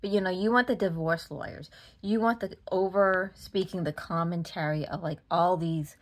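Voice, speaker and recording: A woman in her forties speaks in a fairly low voice with a Boston accent. She rants casually to camera, frustrated and emphatic, repeating phrases to drive them home. It sounds like a phone held at arm's length indoors. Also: normal speed. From Massachusetts, United States. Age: 40s. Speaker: vlogger. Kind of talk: casual opinion rant to camera.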